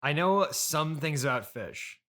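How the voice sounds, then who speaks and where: A man's voice: on the high side, lively intonation, measured pace, bright, forceful tone. Man in his thirties, dryly pedantic. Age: 30s. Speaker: podcast host and interviewer. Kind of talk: casual improvised comedy interview.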